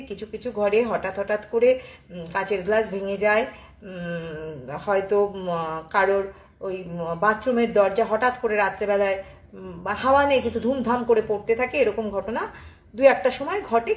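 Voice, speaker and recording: A woman's voice: mid-range voice, normal speed, echoey room. A woman telling a ghost story off the cuff, with frequent filler sounds and restarts.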